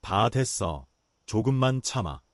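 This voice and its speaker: Guy playing a soft-spoken young tiger, lowish voice, bouncy intonation, calm and kind. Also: slow, no echo, clean studio sound. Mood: gentle. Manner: low, quiet and earnest. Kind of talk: storybook voice acting.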